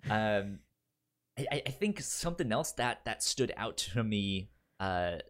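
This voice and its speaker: A man co-hosting a casual film chat, speaking informally and haltingly with a stammer that repeats sounds and syllables. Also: on the low side, big pitch swings, fairly bright tone. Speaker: film podcast co-host.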